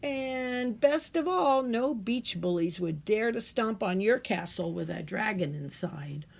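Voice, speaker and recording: Animated woman reading a picture book aloud. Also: medium pitch, unhurried, gravelly. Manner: expressive, playful, asks the listeners questions. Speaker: storytime reader at a library. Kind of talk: animated read-aloud of a children's picture book with chatty asides.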